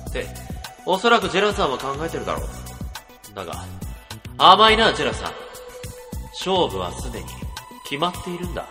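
A man's voice: a little high, wildly swooping pitch, a little fast, pauses often, in a large hall, over music. A man voicing a scheming young male office worker in a comedy radio drama, with melodramatic inner monologue.

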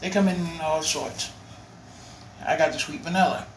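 A man's voice: a little high, fairly even intonation, moderate pace, a bit bright. A man doing a casual home review vlog, rambling and restarting his phrases as he films on a handheld phone. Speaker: cigar vlogger. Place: home room with bare walls. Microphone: phone held while filming. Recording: echoey room, faint room noise.

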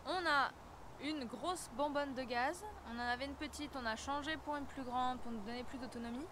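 A woman in her thirties chatting casually in a vlog, relaxed and sharing practical tips, filmed outdoors on an action camera. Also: sits high, moderate pace. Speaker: travel vlogger. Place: outdoors in bush by a car. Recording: outdoor noise behind.

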